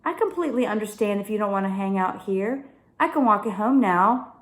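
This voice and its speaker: A confident young girl from a children's story, played by a woman reading aloud. She sounds breezy and reassuring. The room adds a clear echo around her voice. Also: mid-range voice, lively intonation, brisk, close to an everyday voice. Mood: apologetic. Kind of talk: children's audiobook character voice.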